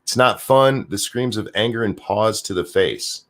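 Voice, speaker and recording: A man hosting a podcast, chatting casually and reading news articles aloud with a jokey, animated delivery. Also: lowish voice, a little slow. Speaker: podcast host.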